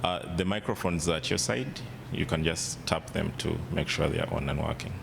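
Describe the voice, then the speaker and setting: A man working as the emcee of an event, making announcements in a halting way with restarts. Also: vocal fry. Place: large event hall. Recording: long hall reverb, loud rumble.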